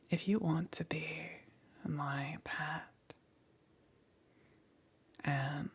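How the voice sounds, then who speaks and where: A woman playing a smug, teasing demon queen in an ASMR roleplay, with a breathy, whispery voice and a taunting, seductive menace. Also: low voice, heavily acted. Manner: slow, taunting, punctuated by soft chuckles.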